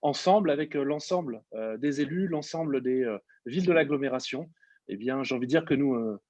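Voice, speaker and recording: A man speaking as a local official, making a halting statement in political phrasing. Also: mid-range voice, a little mellow, dry recording. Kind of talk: prepared interview statement.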